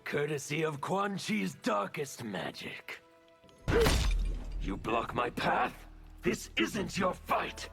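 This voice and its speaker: Gravelly chaos fanatic. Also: male voice, a little high, big pitch swings, takes it slowly, music in the background, exaggerated voice. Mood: defiant. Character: fanatical male chaos revolutionary, ruthless and defiant.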